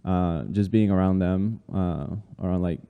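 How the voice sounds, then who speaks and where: A man in his thirties from Colombia, a guest telling his life story in a relaxed way, with many fillers. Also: deep voice, a little slow, clear, resonant tone, big-room sound, narrow, tinny audio. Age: 30s. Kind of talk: casual interview conversation.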